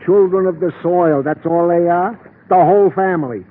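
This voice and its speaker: Man doing grumbly pompous american cop sidekick, 50s radio comedy relief, voice a bit high, tinny old recording. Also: moderate pace, natural voice. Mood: grumbling. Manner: grumbling, pompously formal, emphatic. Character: fussy, long-suffering comic police sergeant, henpecked husband. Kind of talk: 1950s American radio comic-relief acting. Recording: tinny vintage radio recording, faint noise.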